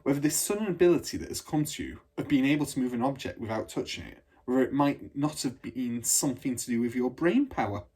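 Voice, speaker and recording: A kind, cautious storybook schoolmistress, played by a man reading aloud. The voice is a little husky and lilts widely, with patient, measured phrasing as if carefully explaining. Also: mid-range voice, expressive, darkish tone. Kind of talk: children's storybook read aloud.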